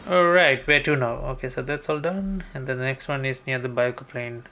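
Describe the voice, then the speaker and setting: A man's voice: medium pitch, a little fast, sharp tone, lo-fi sound, a little hiss or hum. A man streaming a game, thinking aloud in a casual, hesitant way as he talks through his route. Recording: game music behind voice. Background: video game music.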